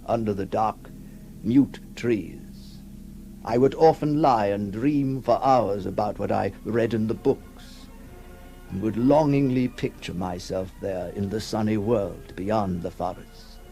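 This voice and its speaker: An actor playing a haunted, lonely male outcast in a halting, theatrical 1960s radio drama monologue, intense and tormented. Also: fairly low pitch, vocal fry, mellow, faint music behind. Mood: brooding, wistful. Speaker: actor narrating as the character. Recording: noisy 1960s radio recording.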